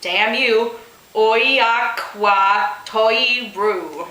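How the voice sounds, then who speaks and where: High, bright-voiced woman reading theatrically, roomy echo. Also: extremely slow. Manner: sarcastic, exasperated, theatrical. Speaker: host reading fan fiction aloud. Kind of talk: comedic dramatic reading with asides.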